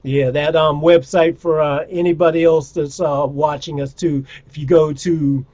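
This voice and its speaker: A man hosts a casual chat over a video call, laid-back and encouraging. His voice sits fairly high for a man. The sound is dull and lo-fi, like video call audio. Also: little pitch movement, normal speed, darkish tone. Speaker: host.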